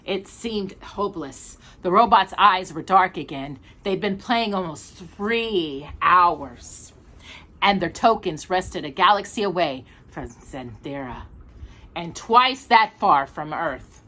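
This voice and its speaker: Bright-voiced woman reading a storybook. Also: lowish voice, lively intonation, slow pace. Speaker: storytime host reading a picture book aloud. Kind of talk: expressive storybook narration for a child.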